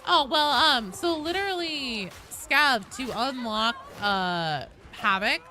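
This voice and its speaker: Animated woman livestreamer over background noise. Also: high voice, slow pace. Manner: animated, sweary, self-deprecating banter. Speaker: livestream host. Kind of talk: casual game-stream chat, answering viewer comments. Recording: clear background noise behind voice.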